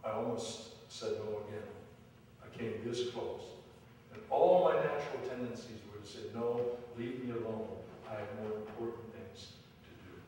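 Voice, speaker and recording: Older pastor preaching in an echoey church. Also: male voice, mid-range voice, moderate pace, dark tone, a little hiss or hum. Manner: preaching with rising emphasis on scripture quotes, wry asides. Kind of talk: sermon. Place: large wooden church sanctuary. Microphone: far camera, room sound. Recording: echoey church hall from far off.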